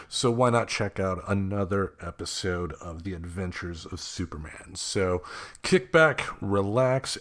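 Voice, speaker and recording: A man speaking casually as the host introducing a podcast episode. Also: sits low.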